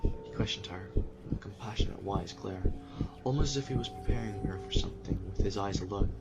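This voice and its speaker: Male narrator for audio drama; low voice, dramatic scripted storytelling. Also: brisk, gravelly, heavy background noise.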